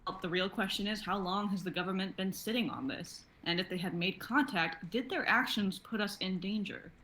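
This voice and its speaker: A young woman reads dramatic dialogue as a performer. Her pitch is average for a woman, and there is clear noise in the background of the stream. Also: fairly quick, sharp tone. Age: young adult. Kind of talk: dramatic script reading, acting characters.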